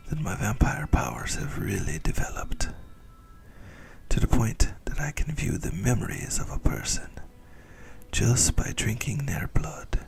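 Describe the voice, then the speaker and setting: Man acting as a whispering, gravelly, possessive vampire. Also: on the low side, exaggerated voice. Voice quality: breathy whisper with gravelly creak. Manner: teasing, intimate, savoring words slowly. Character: possessive, doting ancient male vampire dinner host. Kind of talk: whispered ASMR roleplay.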